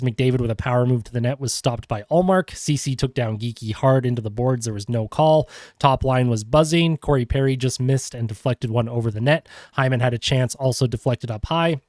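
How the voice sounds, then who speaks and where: A man with a congested cold, hosting a podcast and running quickly through a post-game hockey recap with frequent fillers. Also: medium pitch, dry recording, studio quality. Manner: quick play-by-play rundown with fillers. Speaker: podcast host.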